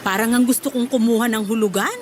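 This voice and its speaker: Woman acting a high-voiced, nagging middle-aged mother. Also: quick pace, a little mellow, a little hiss or hum, natural voice. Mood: insistent, demanding. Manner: nagging, insistent. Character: demanding, wheedling middle-aged mother of grown children. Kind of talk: Tagalog radio drama acting.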